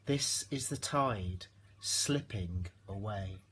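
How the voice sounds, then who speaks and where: A man reading a rhyming children's storybook aloud in an expressive narrating style. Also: lowish voice, slow pace, a little hiss or hum. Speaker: storybook reader.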